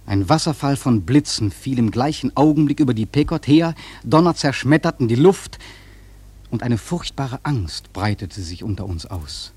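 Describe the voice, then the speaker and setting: A man acting a sailor narrator in a radio play, telling his tale in a grave, husky, solemn literary style. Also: mid-range voice, lively intonation, moderate pace, a little mellow. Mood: awed, solemn. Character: male sailor recounting a terrible storm, grave, awed.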